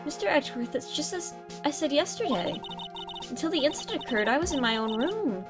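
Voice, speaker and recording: A woman voicing a soft, apologetic young shrine maiden, guarded but earnest, for a video game. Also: sits high, sing-song, a little fast, music in the background, voice pushed up. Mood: meek.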